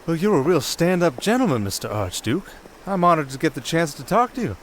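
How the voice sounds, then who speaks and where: A man playing a breezy, smooth-talking young nobleman in a fantasy audio roleplay, chatty and eager to flatter. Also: on the high side, a little fast, slight background noise. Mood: flattering, cheerful. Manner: chatty, ingratiating.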